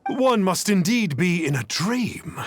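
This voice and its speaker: A man's voice: high-pitched, expressive, takes it slowly, exaggerated voice. An actor playing a pompous male fake exorcist in a video game, with a grandiose manner of speech. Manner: grandiose, calls himself "one". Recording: game audio with faint music.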